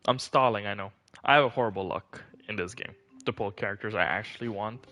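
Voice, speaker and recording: A man's voice: lowish voice, fairly quick, sharp tone. A man streaming a video game, chatting casually and thinking aloud with questions to himself. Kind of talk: casual gameplay commentary.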